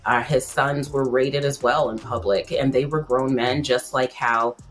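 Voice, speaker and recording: A woman with an extremely low voice gives blunt, opinionated commentary. She speaks conversationally, and faint music plays underneath. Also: steady tone, measured pace. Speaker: guest commentator.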